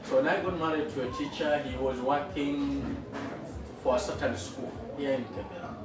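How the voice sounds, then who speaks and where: A middle-aged man with a Kenyan accent, a school founder, telling his story in an interview in an earnest, unhurried way with frequent fillers. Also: mid-range voice, music in the background. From western Kenya. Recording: echoey room, far from the mic, with noticeable background noise.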